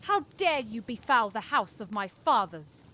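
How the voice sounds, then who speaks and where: A woman's voice: a little high, sing-song, unhurried, a bit bright. An actress playing a hateful, shrieking old aristocratic mother in an audio drama, imperious and outraged.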